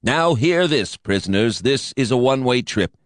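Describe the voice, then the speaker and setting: A man's voice: medium pitch, animated, normal speed, dry recording, clean studio sound, close to an everyday voice. A man doing a nasal, whining prison announcer. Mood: cold. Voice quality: nasal whine. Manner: cold, official.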